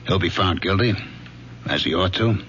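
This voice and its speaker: An actor playing a firm, dry, world-weary police lieutenant in a radio drama. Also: male voice, sits low, moderate pace, a bit bright. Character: tough, fatherly middle-aged male police lieutenant. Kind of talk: 1970s radio drama acting. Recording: dull old radio broadcast with faint hiss.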